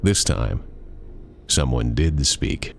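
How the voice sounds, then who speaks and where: A man narrating a scripted scary campfire story, dramatic, with deliberate pauses. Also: a bass voice, expressive, gravelly, slight background noise. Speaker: author and narrator.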